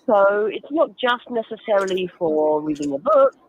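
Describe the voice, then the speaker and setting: A woman's voice: medium pitch, animated, normal speed, highs cut off, a little hiss or hum. A woman giving explanatory interview answers as a guest, instructive and emphatic, with everyday examples. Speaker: guest author and reading advocate.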